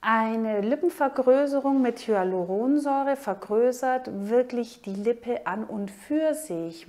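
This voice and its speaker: A woman plastic surgeon with a German accent, calmly explaining a cosmetic procedure to the camera. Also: a little high. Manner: calm, measured and informative, points while explaining.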